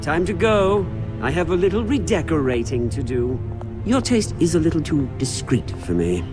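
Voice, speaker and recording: A man's voice: fairly high pitch, very animated, normal speed. An actor playing a smug, falsely polite businessman in a video game, taunting his rival with mock sympathy. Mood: gloating. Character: smug gloating male usurper businessman, mocking, falsely polite. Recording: loud music behind the voice.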